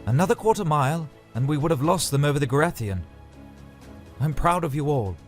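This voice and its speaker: A man's voice: a little high, expressive, a little fast, pitched above the performer's own voice, close to an everyday voice. A man voicing a solemn, battle-weary dragonrider commander in a fantasy audio story, with formal, commanding speech. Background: background music.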